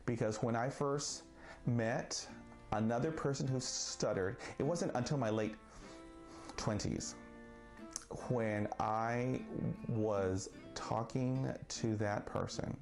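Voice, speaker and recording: Slow-talking man who stutters. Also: mid-range voice, a little mellow. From Texas. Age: 40s. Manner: stutters, slow and deliberate with pauses. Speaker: vlogger. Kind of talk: personal monologue. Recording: faint guitar music behind.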